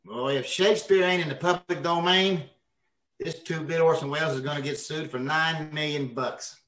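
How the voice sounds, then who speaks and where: A man's voice: fairly high pitch, fairly even intonation, normal speed, fairly bright tone, far from the mic, natural voice. A man playing a blustering, hapless husband with folksy, slangy wisecracks in old-time radio comedy style. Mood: wisecracking. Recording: roomy, noisy online call, off mic.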